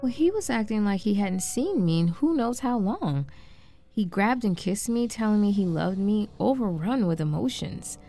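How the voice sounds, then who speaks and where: Woman acting a shaken, compassionate wife, soft mellow voice. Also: medium pitch, animated, lightly acted. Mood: uneasy recounting. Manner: halting, stammering, hushed delivery. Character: shaken, compassionate wife trapped in frozen time. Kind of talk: audio drama acting. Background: background music.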